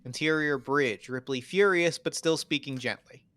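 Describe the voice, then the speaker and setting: A man's voice: fairly high pitch, normal speed, a bit bright. A man narrating the stage directions of a scripted drama at a table read.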